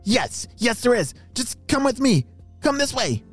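A storyteller voicing a friendly, excitable male otter guide in a children's story. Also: medium pitch, measured pace, breathy edge, soft background music. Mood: urgent, helpful.

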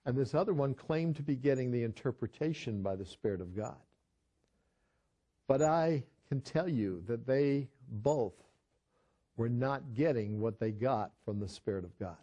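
A man's voice: mid-range voice, expressive, a little slow, mellow. An older man, a pastor, teaching a Bible study in a conversational sermon style, telling a story earnestly with frequent restarts.

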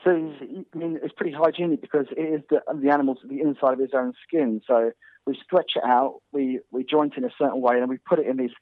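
A man's voice: mid-range voice, steady tone, quick pace, no echo. Man from North Wales on a phone line. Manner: rambling with many restarts. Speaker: guest hunter and filmmaker. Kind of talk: casual interview chat.

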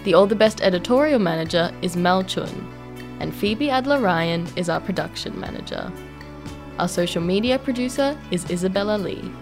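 A woman's voice: lowish voice, lively intonation, moderate pace. A woman presenting radio, reading scripted closing credits. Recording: music bed under voice. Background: theme music.

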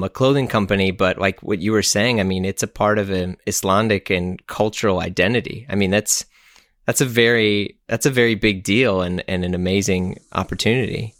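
A man's voice: lowish voice, a bit bright, no echo, clean studio sound. A man hosting a podcast, friendly and chatty, who laughs easily while interviewing his guest. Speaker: podcast host. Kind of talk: casual podcast interview and outro.

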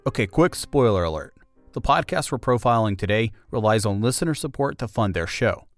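A man's voice: on the low side, lively intonation, a little fast, dry recording. Male podcast narrator over guitar music. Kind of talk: scripted documentary narration.

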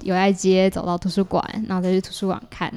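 A woman's voice: on the low side, completely flat delivery, fast talker, darkish tone, clean tone. Taiwanese woman chatting as podcast guest. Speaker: podcast guest, audio drama creator. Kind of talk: casual interview chat, explaining a project. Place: small partitioned dessert cafe in an alley.

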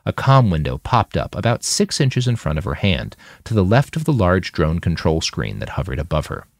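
Deep-voiced man narrating, very animated pitch. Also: moderate pace, dry recording. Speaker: author reading his fiction. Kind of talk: dramatic audiobook narration.

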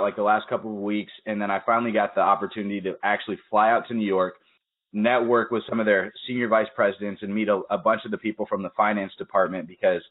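Casting: young male podcast host, lowish voice, lively storyteller, phone-quality audio. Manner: energetic, storytelling with quoted asides. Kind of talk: casual interview chat. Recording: tinny phone-like call audio.

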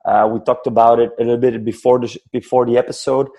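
A man's voice: mid-range voice, flat delivery, fast, dark tone. A man hosting a podcast, introducing a guest and talking hesitantly, repeating words as he goes. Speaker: podcast host. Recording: faint music behind.

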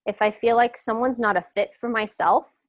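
A woman's voice: fairly high pitch, moderate pace, dry recording. Ny woman talking easy, lots of ums, dry close sound. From New York. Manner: relaxed, meandering, hesitant with fillers. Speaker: guest, wellness coach. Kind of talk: casual interview.

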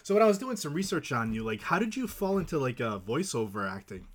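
A man's voice: medium pitch, sing-song. A man hosting a podcast, asking loose, casual questions with lots of "like". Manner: loose questions full of "like", quick acknowledgements. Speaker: podcast host. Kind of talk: casual interview.

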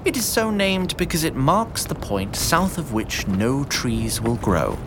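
A man's voice: mid-range voice, very animated, unhurried, background noise. A man narrating a fantasy audio drama in a theatrical, formal storybook style. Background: music and sound effects.

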